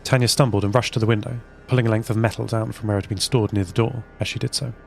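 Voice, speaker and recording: A man's voice: fairly low pitch, steady tone, fast talker, creaky, slight background noise. A man reading scripted first-person story narration for a fiction podcast. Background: music.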